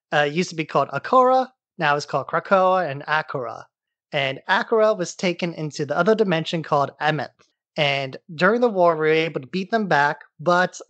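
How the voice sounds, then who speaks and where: A man's voice: on the high side, a bit bright. A man co-hosts a podcast with an informal, irreverent way of explaining a story. His voice is recorded close and dry, with no room echo, and the recap sounds casual and conversational. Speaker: podcast co-host.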